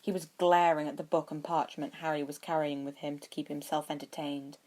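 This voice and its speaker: A woman's voice: low-pitched, steady tone, a little fast, a little mellow. A woman reading scripted fiction aloud as an audiobook-style narrator.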